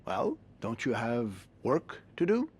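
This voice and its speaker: A man's voice: medium pitch, huge pitch swings, slow pace, a little mellow, husky, exaggerated voice. A stern 1950s hotel manager for a mystery game. He is curt and formal, with impatient authority in every phrase. His intonation moves widely as he gives orders. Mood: stern, brusque. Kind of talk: video game cutscene acting.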